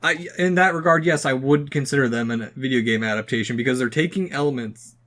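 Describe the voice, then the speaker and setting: A man's voice: mid-range voice, brisk, a bit bright. A man co-hosting a podcast, talking casually and feeling his way through rambling, hesitant phrases. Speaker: podcast host.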